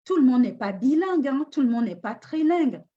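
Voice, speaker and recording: A woman's voice: high-pitched, very animated, fairly quick, warm tone. A woman coaching on relationships in a live talk, hesitant and thoughtful with frequent restarts. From Africa. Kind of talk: unscripted live talk answering a question. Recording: dull lo-fi live stream audio.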